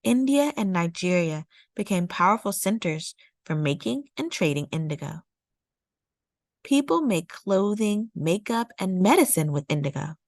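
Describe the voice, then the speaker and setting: Female storytime narrator for children's nonfiction. Lower-leaning pitch, measured delivery, very little room sound. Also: big pitch swings, no echo, clean studio sound. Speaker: storytime read-aloud host. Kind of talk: scripted read-aloud narration of a children's nonfiction picture book.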